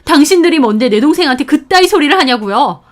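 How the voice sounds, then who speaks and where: A woman's voice: a little high, normal speed, lightly acted. A woman voicing a fierce older sister figure who confronts others firmly and sharply. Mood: angry.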